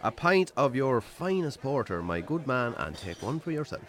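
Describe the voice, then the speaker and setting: An actor with an Irish accent playing a stubborn, wry farmer in a radio drama, dry and offhand. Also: male voice, mid-range voice, sing-song, moderate pace, a bit bright. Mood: cheerful. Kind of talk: naturalistic radio drama acting.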